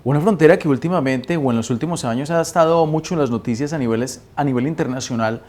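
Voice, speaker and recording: A man's voice: on the high side, quick pace, a little mellow. A man hosting a podcast interview, asking questions in an enthusiastic, emphatic way. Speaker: podcast host.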